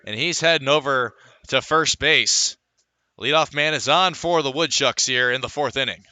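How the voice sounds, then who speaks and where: Bright, forceful male baseball announcer. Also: mid-range voice, normal speed, clean tone, no room sound. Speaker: baseball play-by-play radio announcer. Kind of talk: live sports commentary.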